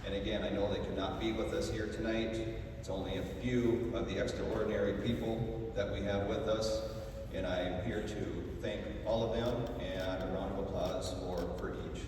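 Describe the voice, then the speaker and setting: A man delivering a formal, prepared tribute speech in a measured, solemn way. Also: fairly low pitch, fairly even intonation. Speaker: ceremony speaker. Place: large indoor hall at a museum. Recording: roomy echoing hall, distant mic, faint noise.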